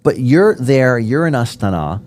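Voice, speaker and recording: An American man hosting a podcast interview, chatty and animated, with many restarts. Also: mid-range voice, measured pace, slight background noise. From United States. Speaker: podcast host. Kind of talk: casual interview chat. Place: podcast studio.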